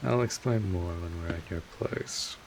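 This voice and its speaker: A low-voiced vampire mentor, acted out by a man, talks slowly over faint hiss and rain-like noise. He is gruff but caring, rambling through his explanations in a streetwise, informal manner, like a weary guide in an audio drama. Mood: exasperated, protective. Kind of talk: scripted audio roleplay addressed to the listener.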